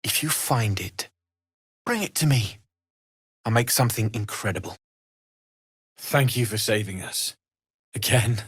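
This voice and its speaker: A man's voice: fairly low pitch, lively intonation, measured pace, long pauses, fairly bright tone, husky, no room sound, clean studio sound, heavily acted. An actor playing an earnest, kindly young male blacksmith refugee in a fantasy video game, speaking sincerely and politely with plenty of expression. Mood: grateful, relieved. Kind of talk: scripted fantasy game dialogue.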